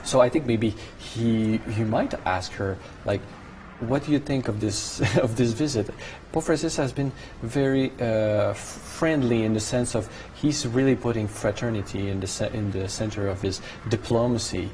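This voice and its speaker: A man's voice: mid-range voice, normal speed, darkish tone. A French Canadian man, a native French speaker, giving conversational live studio analysis and searching for his words. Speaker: studio commentator, French-language coverage host. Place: broadcast studio. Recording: clear background noise.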